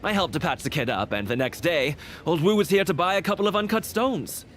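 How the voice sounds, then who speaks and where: An actor playing a talkative, shrewd and neighborly merchant in a video game, speaking in a folksy, earnest way. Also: male voice, on the high side, fairly quick, fairly bright tone, slight background noise, close to an everyday voice. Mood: puzzled, chatty. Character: chatty, shrewd, neighborly male merchant shopkeeper.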